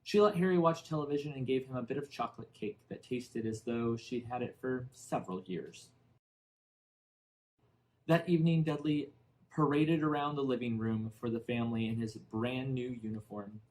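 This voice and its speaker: A man reading a children's novel aloud in expressive, deliberately phrased storybook narration. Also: mid-range voice, poor audio. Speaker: audiobook narrator.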